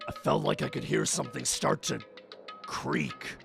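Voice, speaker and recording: A man playing a tough, hot-tempered, guilt-ridden young man in an anime fandub, intense and halting, moving between hushed confession and yelling. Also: mid-range voice, fairly even intonation, gravelly. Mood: anguished, remorseful. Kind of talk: dramatic anime-style fandub acting. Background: faint music behind the voice.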